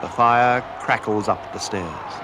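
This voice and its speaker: A man's voice: lowish voice, measured pace. A man giving an intense, theatrical narration of a story, as in a scripted radio drama. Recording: noisy 1970s radio drama with effects. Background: loud sound effects.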